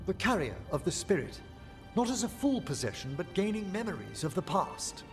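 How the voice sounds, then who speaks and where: An actor playing a knowing fantasy game character who explains lore and rumor expressively. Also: male voice, high voice, very animated, moderate pace, music in the background. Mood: intrigued. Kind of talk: video game voice acting.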